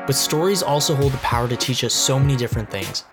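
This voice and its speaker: A male podcast host with a mid-pitched voice asks inviting, clearly paced questions and reads a scripted introduction. Plucked guitar music plays behind him. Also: quick pace.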